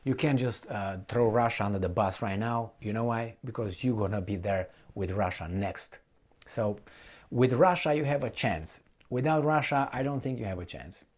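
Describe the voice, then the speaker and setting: Man giving blunt, halting political commentary. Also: on the low side, a little mellow. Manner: halting, repetitive, rhetorical questions addressed to the listener; colloquial, blunt. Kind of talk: unscripted opinionated monologue to viewers.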